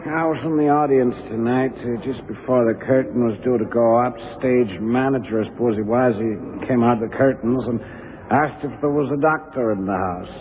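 An actor playing a hesitant, matter-of-fact doctor in an old radio drama, explaining things conversationally. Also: male voice, medium pitch, a little fast, natural voice. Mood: earnest, hesitant. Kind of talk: 1970s radio drama acting. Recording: narrow, faintly hissy old radio recording.

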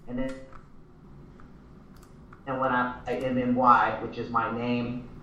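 A man from Texas, a guest musician on a video call, telling stories in a relaxed, friendly way. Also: mid-range voice, takes it slowly, dull audio, soft background music. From Texas, born in Thailand. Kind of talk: casual interview chat. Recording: roomy, distant video call audio.

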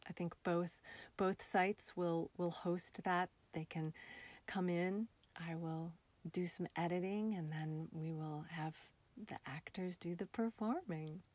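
A woman with a slightly low voice talks as a guest on an interview. She is a theatre artist explaining things in an easy, conversational style. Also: fairly even intonation, a little slow, dry recording.

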